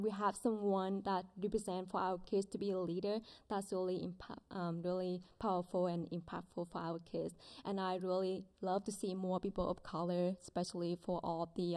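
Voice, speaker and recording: Young adult woman, Vietnamese first language, mellow tone; halting, heartfelt public comment at a meeting mic. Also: on the low side. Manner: earnest, halting, many ums. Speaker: public commenter, school aide. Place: school board meeting room. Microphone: desk microphone.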